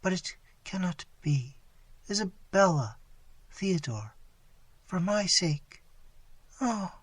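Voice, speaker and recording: A male reader voicing a gentle young princess speaking faintly and softly as she dies, her words dropping toward a whisper. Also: medium pitch, a little slow, no room sound, pitched above the performer's own voice, lightly acted. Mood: fading.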